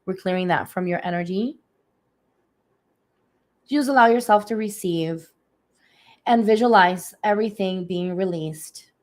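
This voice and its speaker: A woman with a slightly hoarse voice giving a live spiritual reading, speaking softly and unhurriedly with many fillers. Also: medium pitch, a little slow, pauses often. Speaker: energy healer giving readings. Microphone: phone or webcam on a livestream.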